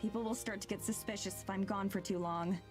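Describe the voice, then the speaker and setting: An actress playing an earnest, shy young woman in a visual novel, speaking with a hesitant, gentle manner. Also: mid-range voice, steady tone, fast talker, close to an everyday voice. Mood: gentle, bashful. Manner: hesitant, soft-hearted. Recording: background music behind the voice.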